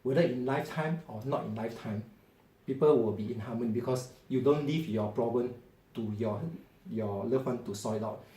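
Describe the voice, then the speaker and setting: A man's voice: medium pitch, moderate pace, mellow. Earnest Singaporean man in echoey room. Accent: Singaporean English. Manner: earnest, loose and conversational with frequent restarts. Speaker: financial planner and author. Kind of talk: direct appeal to viewers. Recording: echoey room with faint ambient noise.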